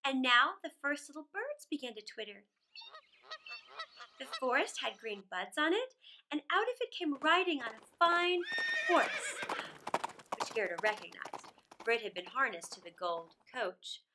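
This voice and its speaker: A middle-aged woman reading a fairy tale aloud to children, expressively and theatrically, with deliberate phrasing. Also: sits high, very animated, sharp tone, slight background noise, rough recording. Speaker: storyteller. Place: small indoor room.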